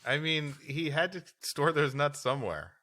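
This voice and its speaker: A man with a bright, forceful voice co-hosts a comedy podcast. His pitch moves around in a lively way as he banters and presents stories like a mock announcer. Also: normal speed. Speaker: podcast co-host. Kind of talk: comic banter and story reading on a live podcast.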